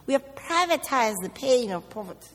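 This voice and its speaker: A woman with a South African accent answering audience questions at a public talk, deliberate and emphatic, with rhetorical questions. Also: fairly high pitch, sing-song. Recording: echoey hall with long reverb.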